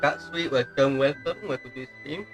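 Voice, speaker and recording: A man's voice: medium pitch, a little fast. A Malaysian man, a native Malay speaker, chatting casually as a game streamer and greeting his viewers. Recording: game music faintly behind.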